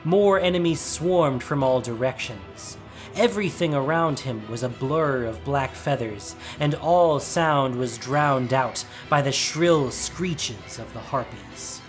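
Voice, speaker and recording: A man's voice: mid-range voice, slow pace, rough recording. A man reading a scripted, dramatic story as its narrator. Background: music.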